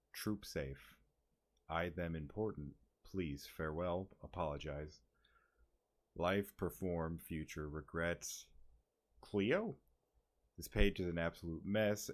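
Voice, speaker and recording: Male gaming streamer with a deep voice who reads on-screen text and reacts off the cuff. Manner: reads dialogue aloud, breaks off to react and swear. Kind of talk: reading game text aloud with casual reactions.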